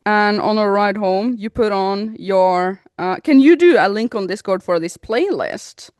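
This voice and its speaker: A young adult woman, co-hosting a casual podcast, telling stories in an animated, giggly way. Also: mid-range voice, a little slow, dry recording, clean studio sound. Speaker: podcast co-host. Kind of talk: casual chat, storytelling.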